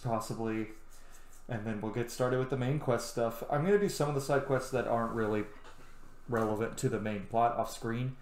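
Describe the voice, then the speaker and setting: A man in his thirties hosting a gaming video with casual let's play commentary. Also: medium pitch. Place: small room indoors at home. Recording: roomy echo, faint background noise.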